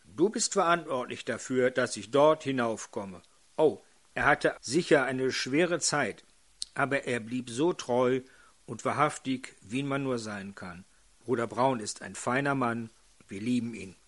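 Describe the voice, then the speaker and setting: An older man preaching a sermon in a hoarse voice, putting questions to his congregation. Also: mid-range voice, unhurried.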